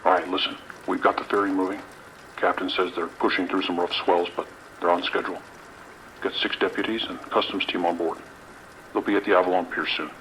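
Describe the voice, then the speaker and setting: Police lieutenant, audio drama. Very low voice, no-nonsense and commanding, slight radio and rain noise behind. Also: male voice, flat delivery, fairly quick, pushed and bright, rough recording. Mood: businesslike. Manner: terse, commanding.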